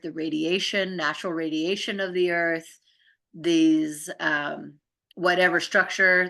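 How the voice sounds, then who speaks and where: A woman's voice: low voice, bright, forceful tone. A woman explaining things in casual conversation, in an earnest, informal, rambling way with frequent check-in phrases.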